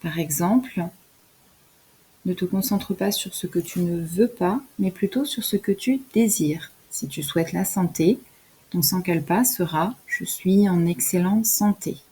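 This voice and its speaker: A woman leading a scripted guided relaxation in a soft, soothing, instructional way, as a yoga nidra guide. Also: on the low side, measured pace, husky, rough recording.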